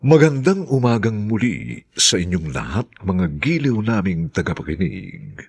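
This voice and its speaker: A man's voice: fairly low pitch, huge pitch swings, measured pace, vocal fry, breathy edge. A man announcing a radio drama in formal, dramatic scripted style, drawing out the title phrases.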